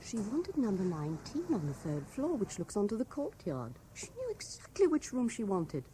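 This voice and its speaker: A woman's voice: mid-range voice, huge pitch swings, normal speed, mellow. A woman playing a polite, matter-of-fact hotel concierge in a radio play. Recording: faintly noisy 1970s radio recording.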